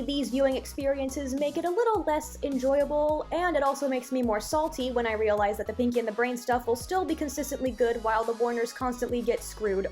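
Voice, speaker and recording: A woman with a high-pitched voice delivers an opinionated video review. She talks conversationally and lets her exasperation show. Music plays underneath her commentary. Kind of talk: cartoon review commentary with live reactions. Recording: voice-over with background music.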